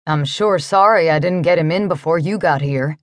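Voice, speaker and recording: A woman voicing a kind, easygoing cowboy with a calm drawl in an audiobook story. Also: sits low, unhurried, no echo, clean studio sound, pitched below the performer's own voice. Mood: easy, soothing.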